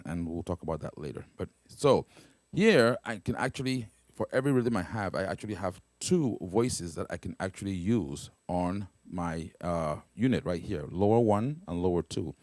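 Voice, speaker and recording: A man's voice: sits low, darkish tone, dry recording. A man casually demonstrating a keyboard's sounds, explaining step by step and often checking in with "right?" and "okay?". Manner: halting, with fillers and restarts. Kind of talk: casual instrument demo. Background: keyboard pads and guitar playing.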